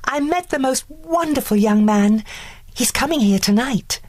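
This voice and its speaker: A woman's voice: high-pitched, animated, measured pace, darkish tone, dry recording, voice pushed up. A woman voicing a sweet, dreamy young princess in a children's storybook, in a girlish, exclaiming manner. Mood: joyful.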